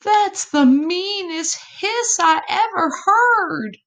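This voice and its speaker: A woman's voice: very high voice, animated, takes it slowly, a bit bright, pitched above the performer's own voice, exaggerated voice. A woman voicing a timid but boastful little possum in a children's storybook, exclaiming dramatically. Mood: frightened gasping.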